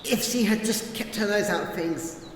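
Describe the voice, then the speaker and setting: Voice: mid-range voice, moderate pace, reverberant, long reverb tail, a little hiss or hum, lightly acted, rough recording. A performer voicing a bitter, lonely young male exile, resentful and prone to defiant outbursts, in an audio drama. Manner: resentful, swinging to loud defiant shouts. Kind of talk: amateur audio drama acting.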